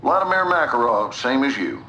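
An actor playing a measured, businesslike military general in an action game, speaking with cool control. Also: male voice, medium pitch, sing-song, pushed and bright, slight background noise, poor audio. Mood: composed. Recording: music behind the voice.

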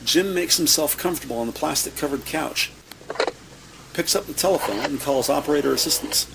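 A man narrating an audio drama from a script, describing scenes in measured present-tense storytelling. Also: on the high side, vocal fry, background noise. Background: music.

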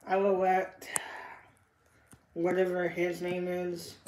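A woman chatting casually and unscripted about toys, in a halting, repetitive way that trails off. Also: lowish voice, monotone, a little mellow. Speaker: toy reviewer. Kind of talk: casual unscripted toy review chat. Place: indoor room with hard tiled floor. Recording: echoey room, background noise, clicks.

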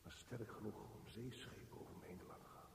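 An actor playing a lone, anxious man brooding in a tunnel, speaking a radio drama monologue in halting, clipped phrases. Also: on the low side, vocal fry. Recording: echoey hall reverb, faint hiss.

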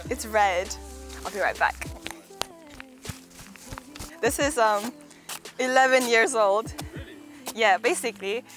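A woman's voice: medium pitch, a bit bright. A young woman raised in Hong Kong with a slightly American accent, talking casually and reflectively about her childhood in a garden interview. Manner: chatty, reflective, laughs easily. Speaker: interviewee, singer-songwriter. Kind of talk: relaxed documentary interview. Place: outdoors in a back garden.

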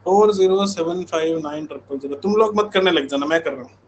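Higher-pitched man teaching casually, noisy recording. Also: quick pace. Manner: informal, conversational, repeats check-in tags. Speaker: online exam coaching teacher. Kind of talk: casual live class chat.

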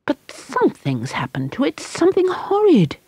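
A woman's voice: sits high, huge pitch swings, moderate pace, darkish tone, no echo, pitched above the performer's own voice, low-quality recording. A woman voicing an anxious mother who pleads and frets over her daughter. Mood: alarmed. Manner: fretful and pleading. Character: anxious, tearful mother of a young girl.

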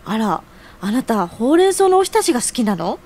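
A woman's voice: a little high, sing-song, a little fast, crowd noise. An actress playing a caring young woman in an audio drama, with a cheerful, affectionate, feminine way of talking. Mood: pleased, playful. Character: caring, eager young woman offering to cook for her man.

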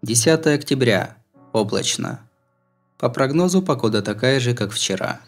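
A man's voice: medium pitch, lively intonation, normal speed. A man reads a first-person novel in a mid-range voice. His delivery is scripted yet conversational, with a wry edge. Music plays behind him. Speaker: audiobook narrator.

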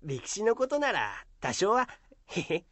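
A man's voice: high-pitched, wildly swooping pitch, a little slow, a bit bright, dry recording, heavily acted. A man playing an earnest, modest schoolboy who loves history, explaining things eagerly in an amateur radio drama. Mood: bashful pride.

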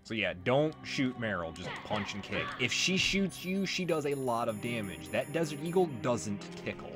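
A man's voice: mid-range voice, very animated, moderate pace, music in the background. A man giving casual, informal let's play commentary, explaining things as he plays. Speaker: gaming YouTuber.

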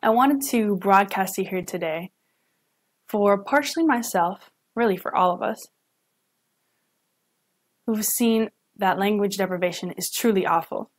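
A young woman, a student interpreter, voicing a signed lecture live at home into a webcam, pausing and correcting herself as she goes. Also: medium pitch. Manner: halting, with self-corrections and restarts. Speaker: student sign language interpreter.

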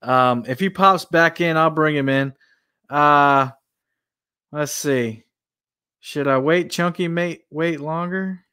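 A man's voice: fairly high pitch, takes it slowly, long pauses, sharp tone, smooth. Chuckling man hosting a fishing stream. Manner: friendly, laughs often. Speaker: live stream host. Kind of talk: casual chat. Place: room indoors.

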